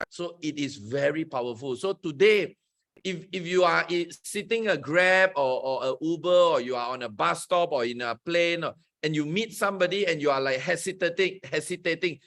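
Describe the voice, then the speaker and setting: A man with a somewhat high voice hosts a motivational talk. He speaks with energy and emphasis, his pitch swinging from high peaks down to low, quiet stretches. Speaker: host.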